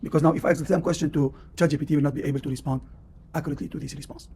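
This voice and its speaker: A man's voice: on the high side, fairly even intonation, racing, mellow, slight background noise. A man with a Tunisian accent, a professor giving a classroom lecture and explaining at length with many "uh"s and "you know"s. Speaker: university professor.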